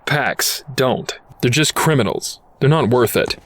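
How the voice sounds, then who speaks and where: A man's voice: medium pitch, animated, unhurried. A man playing a cynical, wisecracking robot detective with a sardonic delivery in an audio drama. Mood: dry, sardonic. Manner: sardonic, quippy.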